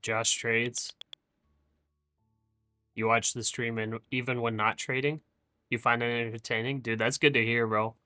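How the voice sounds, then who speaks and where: A young man hosting a live trading stream, chatting casually with viewers in a monotone voice and calling them bro and dude. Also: mid-range voice, measured pace, pauses often, dry recording, soft background music. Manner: says his own voice is monotone. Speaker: livestream trading host.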